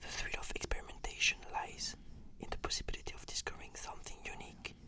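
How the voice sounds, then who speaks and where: A breathy whisper, almost entirely unvoiced, in an ASMR style. The person plays a client giving measured, fluent scripted answers. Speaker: client answering questionnaire in a roleplay.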